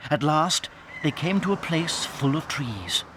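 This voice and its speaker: A man narrating a children's story in a measured, dramatic storytelling manner. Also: a little high, very animated, noisy background. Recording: studio narration over music.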